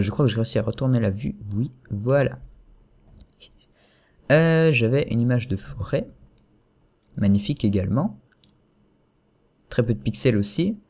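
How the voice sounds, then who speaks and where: A young man giving a casual screen-recorded software tutorial at his computer, chatty and hesitant, full of fillers and self-corrections. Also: medium pitch.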